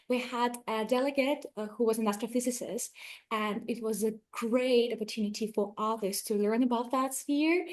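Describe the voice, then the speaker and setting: A woman's voice: on the high side, a little mellow. A woman organizer answering audience questions over a video call, explaining in an encouraging way. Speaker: event organizer.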